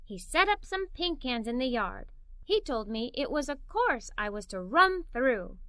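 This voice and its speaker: Bright, high-pitched woman reading a children's story. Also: no room sound. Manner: expressive, performs the characters' dialogue. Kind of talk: animated read-aloud storytelling for children.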